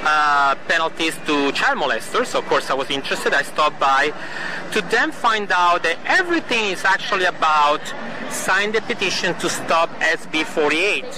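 A man giving an earnest, indignant first-person report outdoors at a harbor, halting and restarting as he talks. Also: a little high, expressive. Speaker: citizen filming a video clip. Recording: outdoor video with traffic noise.

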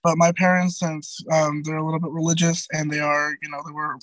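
A man's voice: flat delivery, fairly quick, fairly bright tone, no room sound, rough recording. A young man around twenty, a guest in a casual interview, talking in a chatty way full of "like" and "um" with many restarts. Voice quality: light high-pitched voice.

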